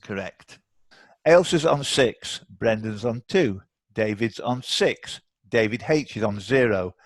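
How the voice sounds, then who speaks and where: A man's voice: fairly low pitch, lively intonation, slow pace, no echo. A British man hosting a casual live online quiz, chatting and bantering between questions. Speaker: quiz host. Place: indoors, on a video call.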